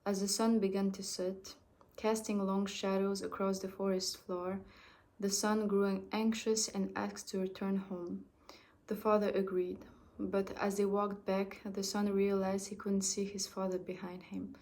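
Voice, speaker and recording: A young woman whose first language is French, reading a story aloud in a halting way with frequent restarts. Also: mid-range voice, level tone, darkish tone. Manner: halting, with restarts and self-corrections.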